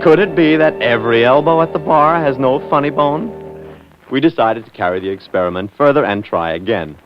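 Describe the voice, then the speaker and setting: A man's voice: medium pitch, lively intonation, a little fast, music in the background. An American man hosting and narrating a scripted 1940s radio program. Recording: dull old 1940s radio recording.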